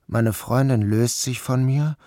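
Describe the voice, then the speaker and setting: A man reading a literary story aloud as a first-person narrator, with a measured and even delivery. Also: mid-range voice, no echo, clean studio sound. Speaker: audiobook narrator. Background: music.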